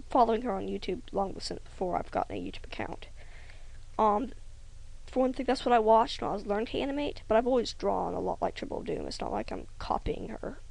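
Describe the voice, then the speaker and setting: A young woman rambling hesitantly over a drawing tutorial, often trailing off into soft near-whispers. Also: fairly high pitch, creaky, low-quality recording. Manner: rambling, hesitant, self-conscious, many ums. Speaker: hobby animator.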